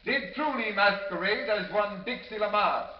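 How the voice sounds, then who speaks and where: A man plays a stern courtroom judge in a vintage radio play. He declaims formally and deliberately in a high voice. The old recording is dull and echoing. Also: natural voice. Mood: solemn. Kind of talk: 1940s radio drama acting.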